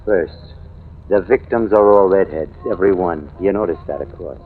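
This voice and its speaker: A man's voice: sits low, moderate pace, lightly acted. A man playing a cocky, knowing American newspaperman in old-time radio drama, insistent and slangy in his talk. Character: cocky, hard-drinking newspaperman theorizing about a killer. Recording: tinny, noisy vintage radio recording.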